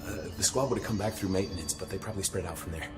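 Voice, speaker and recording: A man's voice: sits low, racing, over music, natural voice. A man in his thirties voicing a friendly, candid security chief in a game. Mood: earnest. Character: earnest male security chief in uniform.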